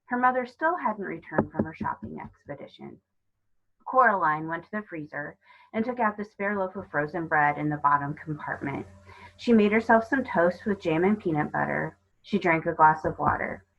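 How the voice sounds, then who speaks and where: A woman reading a children's novel aloud as scripted narration, in an expressive storytelling manner. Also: fairly low pitch, normal speed, vocal fry, muffled recording. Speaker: storybook reader.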